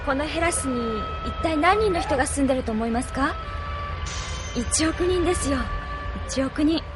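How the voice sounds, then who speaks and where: A woman's voice: high voice, expressive, normal speed, music in the background. An actress playing a polite, earnest young woman in an anime audio drama. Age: young adult.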